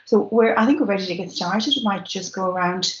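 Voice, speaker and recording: A woman's voice: medium pitch, brisk. A woman hosting an online event, chatty and friendly, with many fillers. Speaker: event organiser and host. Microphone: video call. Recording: echoey, lo-fi video call audio.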